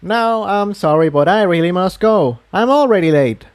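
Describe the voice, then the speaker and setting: A man voicing a busy, courteous, absent-minded male pony townsman in a storybook, with a friendly, hurried manner. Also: sits high, animated, voice pushed up. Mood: brisk, friendly.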